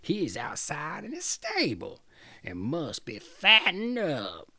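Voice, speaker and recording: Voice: medium pitch, wildly swooping pitch, takes it slowly, bright, forceful tone, no echo, full character voice. A reader voicing a cruel, greedy old female witch in a read-aloud fairy tale, with a menacing villain's manner.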